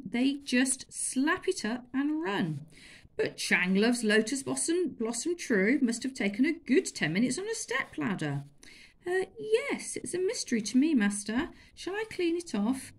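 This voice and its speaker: A woman voicing a cheeky, scheming boy servant who talks in a chirpy, quick, innocent-sounding way. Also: high-pitched, pitched above the performer's own voice, heavily acted.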